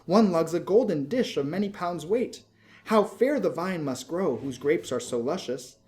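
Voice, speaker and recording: A man's voice: on the high side, lively intonation, moderate pace, a little mellow, pitched above the performer's own voice, natural voice. A man voicing a curious, rapturous young maiden in a storybook poem, full of wonder and coaxing. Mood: excited wonder.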